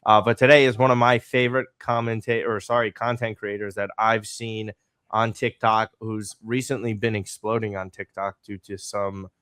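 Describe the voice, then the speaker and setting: A man hosting a casual podcast interview, energetic and rambling as he asks his questions. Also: on the low side, normal speed, smooth, no room sound. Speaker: podcast host.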